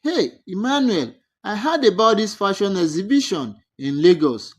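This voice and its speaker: A narrator plays a young magazine woman from a storybook tale. She is an upbeat, helpful friend who sounds excited and reassuring, with animated pitch. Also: moderate pace.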